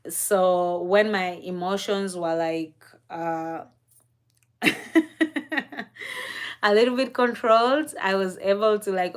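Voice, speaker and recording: A young woman from East Africa telling a personal story on a live stream in a reflective, unhurried way, with frequent fillers and soft laughs. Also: medium pitch.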